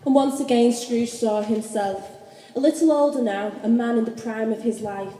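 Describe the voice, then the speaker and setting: Very mellow woman narrating a story. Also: on the high side, steady tone, moderate pace. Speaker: narrator of a radio play. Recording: echoey hall with faint noise.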